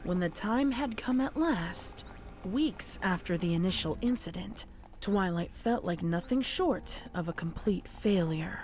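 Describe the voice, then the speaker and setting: A woman's voice: medium pitch, lively intonation, measured pace, darkish tone. A woman narrating horror fiction in a scripted, dramatic storytelling style.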